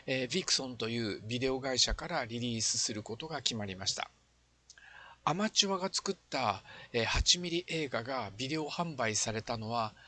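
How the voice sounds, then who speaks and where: A man's voice: medium pitch, a bit bright. An older man, speaking earnestly and explaining as he looks back in an interview. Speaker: former amateur filmmaker, interviewee. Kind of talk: reflective retrospective interview talk.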